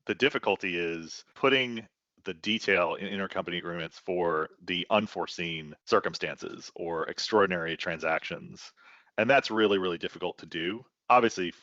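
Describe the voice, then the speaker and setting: A man's voice: low-pitched, sharp tone, dry recording, lo-fi sound. A man giving conversational expert commentary as a panelist, explanatory and leaning on key words for emphasis.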